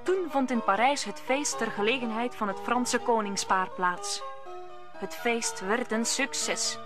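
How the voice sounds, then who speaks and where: A woman narrator telling the story of a radio play in scripted storytelling style. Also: fairly high pitch. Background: music.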